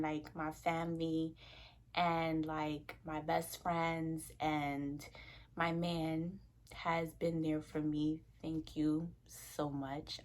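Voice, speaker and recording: A young woman speaks straight to her viewers in a frank, heated, conversational way. Her voice is gravelly with a husky, breathy edge. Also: mid-range voice. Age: 20s. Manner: frank and passionate, loose and conversational. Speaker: vlogger. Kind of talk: casual talk to camera.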